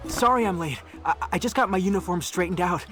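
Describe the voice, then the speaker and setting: A man's voice: high-pitched, fast, fairly bright tone, faint music behind. A man playing an earnest, timid young man in a video game, apologetic, fretful and given to complaining. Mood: apologetic, put out. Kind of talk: video game character acting.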